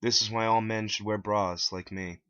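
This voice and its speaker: A man's voice: lowish voice, fairly even intonation, normal speed, fairly bright tone, clear, resonant tone, close to an everyday voice. A man voicing an elderly, heavyset, fatherly lumberjack in a dramatic story, folksy and emotional. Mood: earnest, consoling. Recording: dull lo-fi, dry.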